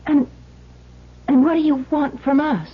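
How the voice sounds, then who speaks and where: An American actress playing a gracious, plucky housewife in a 1970s radio drama, with a husky voice and politely brave manner. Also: female voice, sits high, fairly even intonation, measured pace. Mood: alarmed but composed. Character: gracious, plucky housewife in a wheelchair, eager for company. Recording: muffled old radio broadcast recording.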